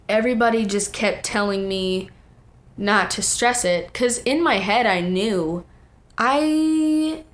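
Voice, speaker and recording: A woman's voice: mid-range voice, fairly bright tone. Teenage girl chatting casually. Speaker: guest, young singer and performer. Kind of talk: casual storytelling chat.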